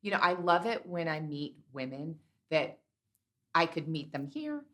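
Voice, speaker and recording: A woman's voice: sits low, big pitch swings, measured pace, fairly bright tone. A middle-aged woman hosting a podcast, chatty and effusive with compliments for her guest. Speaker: podcast host. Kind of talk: podcast intro and interview.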